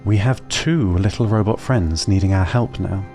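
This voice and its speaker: A man tells a scripted audio drama in a calm, measured manner. His voice sits fairly low, and faint music plays quietly under his words. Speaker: narrator.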